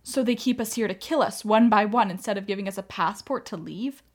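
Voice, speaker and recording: A woman's voice: mid-range voice, fairly quick, natural voice. A woman acting in a radio play as an anguished, defiant young Armenian mother, pleading with feeling. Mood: indignant, defiant. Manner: impassioned, pleading.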